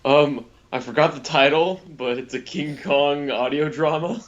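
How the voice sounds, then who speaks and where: Blunt, halting man on slightly dull audio. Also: fairly high pitch. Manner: blunt, halting, swears casually. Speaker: podcast co-host. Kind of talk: casual critical review chat.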